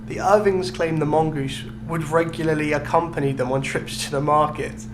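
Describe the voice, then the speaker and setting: A man with a fairly high voice tells a story in casual podcast style. He sounds amused as he explains. There is clear noise and hum behind him. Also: fairly even intonation, normal speed. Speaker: podcast co-host. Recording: clearly noisy recording with hum.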